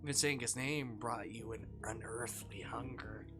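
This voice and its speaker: A game streamer reads a story aloud in a mid-pitched voice. The delivery is informal, slipping between narration and personal asides. Music plays clearly behind the speech. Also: moderate pace. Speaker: streamer reading a visual novel aloud. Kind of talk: live read-aloud narration with casual asides.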